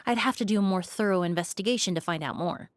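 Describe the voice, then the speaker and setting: A woman voicing a composed, gentle young female investigator in a video game, speaking politely and precisely. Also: mid-range voice, dry recording, clean studio sound, lightly acted. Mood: calm, analytical. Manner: calm, polite, measured.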